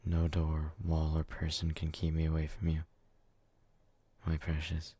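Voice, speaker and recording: A man plays a jealous, brutish, devoted lover in an ASMR romance roleplay. His voice is extremely low and dark, recorded close and dry. He murmurs with clingy, obsessive intensity. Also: completely flat delivery, a little slow, husky, no echo, exaggerated voice. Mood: possessive, disgusted.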